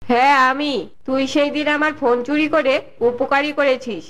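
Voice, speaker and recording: Woman as a stern high-voiced cartoon cop. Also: fairly even intonation, normal speed, a bit bright. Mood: stern, triumphant. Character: stern, triumphant police officer.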